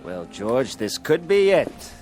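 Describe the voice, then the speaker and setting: A smooth-talking, smug rival in a radio drama. He is animated and condescending in a jovial way, over faint music. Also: mid-range voice, slow pace. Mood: jovial, mocking.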